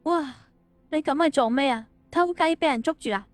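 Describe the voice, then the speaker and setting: A woman's voice: high-pitched, moderate pace, no room sound, soft background music, lightly acted. A woman playing a wistful young female friend with a casual, understated manner, in an audiobook drama. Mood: teasing.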